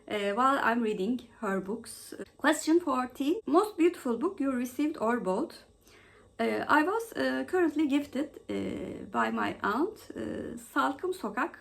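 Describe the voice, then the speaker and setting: A woman presenting a book vlog as host, going through questions in a halting way with frequent mid-phrase pauses. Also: fairly high pitch, vocal fry. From Turkey.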